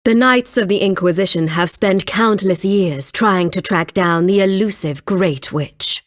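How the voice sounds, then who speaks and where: A woman's voice: medium pitch, natural voice. Haughty, theatrical young woman inquisitor played by an actress. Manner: imperious, deliberate, theatrical. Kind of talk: dramatic video game voice acting.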